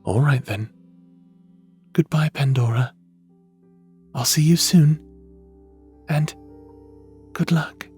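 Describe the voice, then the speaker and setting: An actor playing a calm, affectionate underworld god in an ASMR fantasy roleplay, talking in a measured, gently teasing way to the listener. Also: male voice, fairly high pitch, slightly rough, soft background music, full character voice. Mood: reassuring, fond. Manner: measured, softly teasing, addresses listener as little one.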